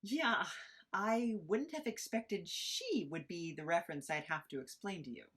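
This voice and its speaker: Flustered female podcaster, reluctant sidekick, read by an actress. Animated, hesitant, anxious delivery for audio drama. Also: lowish voice, a little slow, breathy edge, full character voice. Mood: bemused. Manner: hesitant, stammering, protesting.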